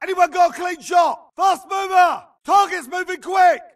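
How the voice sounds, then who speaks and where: An actor playing an urgent infantry grunt in a video game, barking clipped combat callouts. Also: male voice, very high-pitched, unhurried, raised voice, distant mic. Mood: urgent alarm. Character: gruff, urgent infantry grunt soldier in battle. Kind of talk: shouted combat barks.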